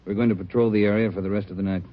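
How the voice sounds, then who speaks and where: Actor as fast-talking commanding police chief. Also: male voice, lowish voice, steady tone, close to an everyday voice. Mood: urgent. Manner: clipped, authoritative. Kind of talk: 1940s-50s radio acting. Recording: dull, noisy old-time radio recording.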